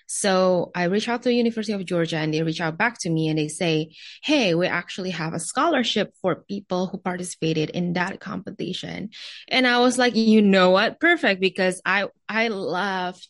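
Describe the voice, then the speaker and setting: A woman's voice: fairly low pitch, normal speed, dry recording. A young adult woman with an Indonesian accent, a guest chatting casually about her background in an interview. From Jakarta, Indonesia. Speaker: podcast guest. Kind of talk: casual interview chat, telling personal background.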